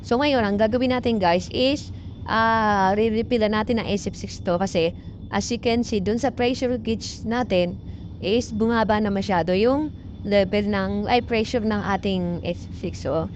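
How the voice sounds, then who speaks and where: A muffled, lo-fi recording with some noise behind the voice of a woman vlogger with a Filipino accent. She speaks at a medium pitch and explains a technical job informally. Her delivery is halting and circles back over points, with English technical terms mixed in. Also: darkish tone. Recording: muffled lo-fi audio with background noise.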